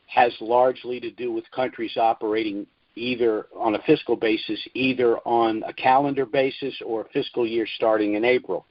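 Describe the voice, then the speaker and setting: Low-voiced man on dull conference-call audio. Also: no room sound. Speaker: host of a financial conference call. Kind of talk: informal prepared briefing to listeners.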